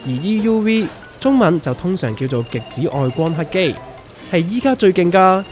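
A man as a lively, wry Hong Kong investor pal. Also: sits high, moderate pace. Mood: wry explaining. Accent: Hong Kong Cantonese. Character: wry, well-informed, teasing investor friend. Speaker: voice actor in a radio drama. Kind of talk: scripted comedic dialogue. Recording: music under the voice.

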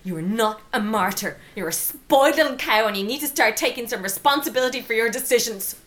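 A woman's voice: fairly high pitch, animated, brisk, a bit bright. An actress playing a stern, exasperated middle-aged Irish mother in a radio drama, scolding and commanding. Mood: stern, angry. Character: stern, exasperated mother of a rebellious teenage daughter.

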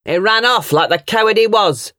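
A woman voicing an angry, bullying middle-aged farm uncle in a storybook, booming and coarse. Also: moderate pace, no room sound, studio quality, natural voice. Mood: sneering, angry. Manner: coarse, shouting and sneering.

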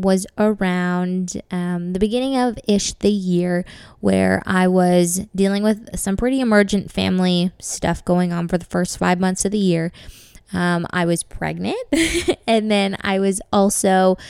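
Young woman podcast host, raspy dry throat, easygoing chatter, dry close-miked sound. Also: lowish voice, steady tone, a little slow, darkish tone, dry recording. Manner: chatty, laughs often, frequent ums. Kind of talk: casual faith-based podcast monologue.

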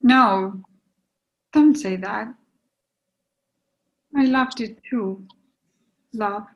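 A woman in a play reading, playing a regretful, resigned lover who is gently breaking things off in a restrained, apologetic way. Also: medium pitch, drawn-out words, dull audio. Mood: pained, placating. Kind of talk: readers theatre play reading.

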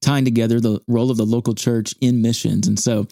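A man hosting a studio podcast interview, measured and earnest, with church phrasing. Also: medium pitch, level tone, vocal fry, mellow, no room sound. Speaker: podcast host, campus ministry staff.

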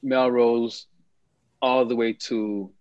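A man's voice: medium pitch, little pitch movement, slow pace, a little mellow, dry recording, highs cut off. A man in his fifties, originally from Haiti, telling his life story as an interview guest in an easygoing way. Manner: easygoing, repeats words as he talks. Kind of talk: relaxed storytelling in conversation.

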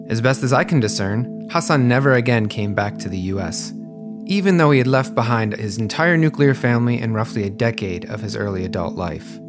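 A man hosting a history podcast, reading a scripted narration in a measured, even manner. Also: mid-range voice. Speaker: podcast host.

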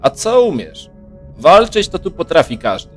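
A man's voice: fairly high pitch, sing-song, normal speed, faint music behind. A man playing a haughty, shrewd margrave who commands a merchant caravan in a fantasy audio drama. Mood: mocking.

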